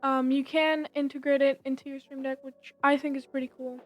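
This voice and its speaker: A young woman hosting a casual software tutorial, explaining things informally step by step. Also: high voice, little pitch movement, fairly quick, soft background music. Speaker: tutorial host.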